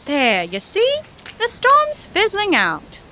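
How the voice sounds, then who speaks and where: Radio-drama heroine: young, no-nonsense, high and very animated, slight background noise. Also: female voice, takes it slowly, exaggerated voice. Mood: brisk, reassuring. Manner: no-nonsense, wry, commanding. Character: brisk, plucky young woman, exasperated and practical. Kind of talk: old-time radio adventure drama acting.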